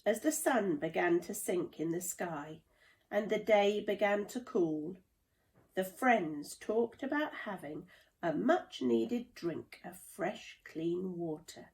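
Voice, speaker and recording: A woman reading a children's storybook aloud in a deliberate, dramatic storytelling manner. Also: medium pitch, lively intonation, vocal fry, a little mellow. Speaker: play therapist reading a children's book. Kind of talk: expressive children's storybook narration.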